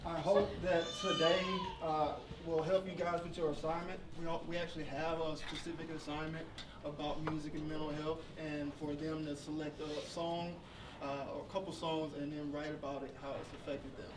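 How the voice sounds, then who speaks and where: A man's voice: a little high, little pitch movement, moderate pace. A man informally moderating a class event, addressing the students and guests. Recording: echoey room, distant mic, clear background noise.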